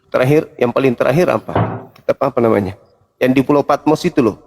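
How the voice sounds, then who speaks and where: A man's voice: medium pitch, fairly quick, creaky, darkish tone. A man debating religion informally, questioning insistently in a confrontational, condescending way.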